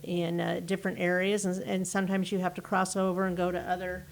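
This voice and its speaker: A woman's voice: lowish voice, completely flat delivery, brisk, creaky, slight background noise. A woman interviewing a guest in a friendly, agreeable way. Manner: friendly, laughs often, agreeable. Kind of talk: casual interview.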